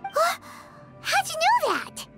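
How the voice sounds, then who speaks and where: Tiny excitable fairy girl game companion, super high squeaky pitch, swoops all over, music behind. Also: slow pace, creaky, exaggerated voice. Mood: startled. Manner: speaks of self in third person. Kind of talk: video game voice acting.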